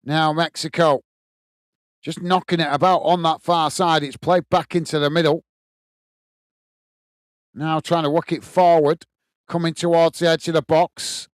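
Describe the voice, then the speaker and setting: A man's voice: fairly high pitch, level tone, a little slow, pauses often, clean tone, no room sound, clean studio sound. An English man hosting a live football watchalong, calling the play steadily and reading out chat comments. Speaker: watchalong host.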